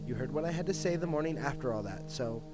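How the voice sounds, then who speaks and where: A man's voice: mid-range voice, expressive, fast, lightly acted. A young man playing a wry, grumbling detective in an audio drama, with a casual, emphatic delivery. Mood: wry, persuasive. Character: wry, grumbling male detective, former partner. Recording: dialogue over clear background music.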